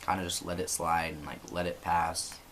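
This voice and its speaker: Low-voiced young man, rambling vlog. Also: moderate pace, slight background noise. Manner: relaxed, rambling, many ums and likes. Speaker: vlogger. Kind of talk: casual vlog update. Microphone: built-in computer camera mic.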